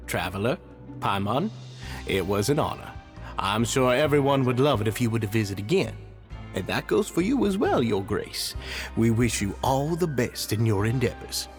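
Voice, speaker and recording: Man acting an ingratiating, lively-voiced society leader. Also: medium pitch, normal speed, music in the background, lightly acted. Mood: courteous, effusive. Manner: courteous, effusive, formal. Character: deferential, ingratiating adult male leader of a society. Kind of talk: anime-style video game voice acting.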